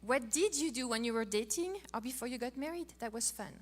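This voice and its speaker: A middle-aged woman from France with a French accent, giving a playful, animated talk on marriage as a co-host. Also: on the high side, brisk. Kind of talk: casual talk to an audience.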